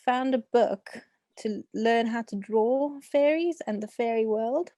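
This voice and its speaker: A woman's voice: fairly high pitch, unhurried, warm tone, no echo. A young adult woman with a South African accent, talking casually as an author being interviewed.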